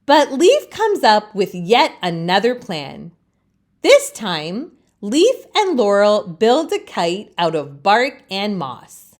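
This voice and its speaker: A woman reading a children's storybook aloud as a storytime host, with expressive narration. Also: medium pitch, slow.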